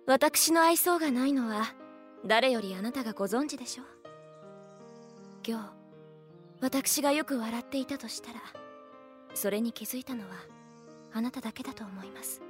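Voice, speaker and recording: A reserved, perceptive wife of a proud lord, acted by a woman in an audio drama. Her voice sits fairly high and she speaks with formal politeness and gentle reproof, over faint music. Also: normal speed, pauses often, close to an everyday voice. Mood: fond, gentle reproof. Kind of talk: Japanese audio drama acting.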